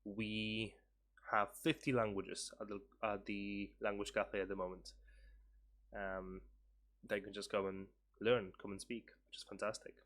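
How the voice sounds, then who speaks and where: Man, low-pitched voice, student vlogger, casual and upbeat, many restarts. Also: flat delivery, unhurried, a bit bright. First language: Polish. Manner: earnest, upbeat, with fillers and restarts. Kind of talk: casual talk to camera.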